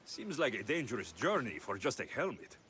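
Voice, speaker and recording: An actor playing a wry, confident young Greek mercenary hero in a video game, with a dry, teasing delivery. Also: male voice, medium pitch, sing-song, fairly quick, fairly bright tone, slight background noise. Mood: wry, sceptical. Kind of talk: video game cutscene dialogue.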